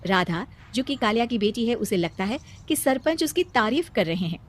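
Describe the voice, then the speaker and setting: A woman's voice: on the high side, very animated, normal speed. A woman narrating a scripted story as the storyteller.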